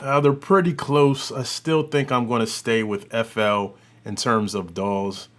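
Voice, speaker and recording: A man hosting an audio software tutorial, talking informally and thinking aloud as he works through a demo. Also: mid-range voice, fairly even intonation, measured pace, a little hiss or hum. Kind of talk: casual demo commentary.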